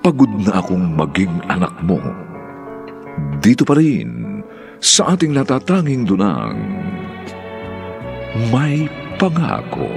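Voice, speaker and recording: A man's voice: lowish voice, huge pitch swings, takes it slowly, gravelly, pauses often. A man presenting a radio drama with formal, dramatic scripted announcements. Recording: music bed under the voice.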